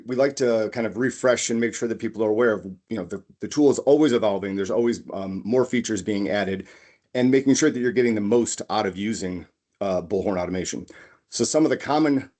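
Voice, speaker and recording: A man's voice: mid-range voice, darkish tone. A man co-presenting a webinar as an automation consultant, explaining things conversationally through practical examples. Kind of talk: conversational business software presentation.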